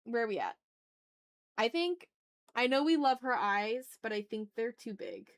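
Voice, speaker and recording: A woman with a somewhat high voice hosts a casual art stream. She talks to her viewers as if to friends, in slangy, animated phrases. Her manner is teasing and informal, with swearing mixed in. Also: moderate pace. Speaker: livestream host and artist. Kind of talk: casual art livestream chat, reading and answering chat messages.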